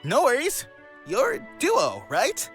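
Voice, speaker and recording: A man's voice: extremely high pitch, huge pitch swings, slow, fairly bright tone, soft background music, exaggerated voice. A man voicing an upbeat, forgiving young cartoon animal hero with an easygoing, earnest manner, in a comic dub. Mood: friendly, upbeat.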